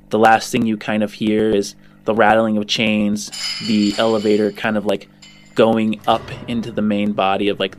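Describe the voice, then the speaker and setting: Low-voiced man narrating a tabletop game. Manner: halting, searching for words. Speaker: game master.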